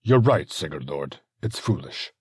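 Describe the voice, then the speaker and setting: A man's voice: a bass voice, sing-song, a little slow, a little mellow, husky, no room sound, clean studio sound, exaggerated voice. A man voicing a nervous male messenger soldier who speaks hesitantly and apologetically. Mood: deferential.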